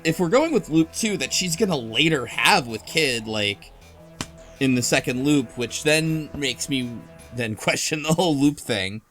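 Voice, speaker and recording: A man in a casual panel discussion, talking in a halting way with trailing asides. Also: mid-range voice, expressive, fairly bright tone. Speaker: book club podcast panelist. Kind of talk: casual discussion of a video game story. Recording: music playing under the talk. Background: background music.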